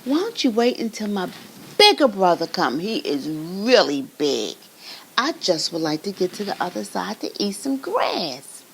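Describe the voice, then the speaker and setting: A woman's voice: medium pitch, sing-song, a little slow, creaky, a little hiss or hum. A woman voicing the medium-sized billy goat, anxious and pleading, in a slightly raised voice.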